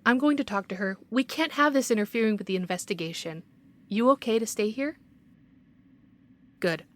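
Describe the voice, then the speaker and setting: A woman playing a stern, by-the-book city investigator in an audio roleplay, speaking in a measured, authoritative, admonishing way. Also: a little high, animated, lightly acted. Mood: firm.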